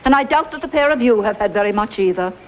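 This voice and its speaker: A woman's voice: fairly high pitch, animated, quick pace, muffled recording, lightly acted. A woman playing a prim, stern schoolmistress. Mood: haughty. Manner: prim, clipped and formal. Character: stern older schoolmistress, haughty and controlled. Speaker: head of a girls' academy.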